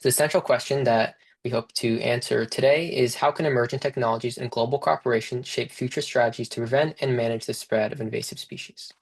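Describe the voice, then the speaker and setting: A young man hosts a video-call panel at a brisk clip. He reads a prepared introduction in a friendly way, and his voice has a gravelly, creaky edge. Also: medium pitch, steady tone. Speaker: student moderator.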